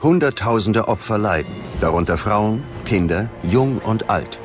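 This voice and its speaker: A man's voice: on the low side, lively intonation, a little slow. A man narrating a documentary from a script in a grave, dramatic manner. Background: background music.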